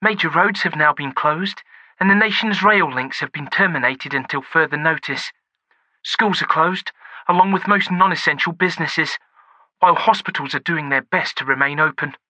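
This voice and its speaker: A polite, formal female news reporter, as a male narrator puts her on, reading bulletins in a raised, very bright, sharp tone. The sound is close and dry, with no room around it. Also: a little high, no room sound, rough recording. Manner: formal broadcast delivery. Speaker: audiobook narrator voicing a character.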